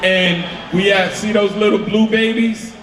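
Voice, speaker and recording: A man's voice: high voice, a little slow. A man from New York, a guest on a convention panel, telling stories with lots of laughter. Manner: laughing, animated storytelling. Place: large convention hall. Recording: echoey convention hall with crowd.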